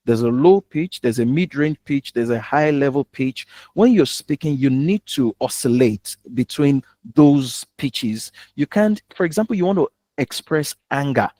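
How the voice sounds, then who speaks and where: A man's voice: mid-range voice, darkish tone. Nigerian guy teaching public speaking, really emphatic, dry sound with no room echo. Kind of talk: live instructional talk.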